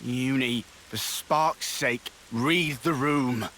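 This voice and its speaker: A man's voice: mid-range voice, drawn-out words, pushed and bright, slight background noise. A man playing a hot-headed, grieving young male soldier in a video game cutscene. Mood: sullen.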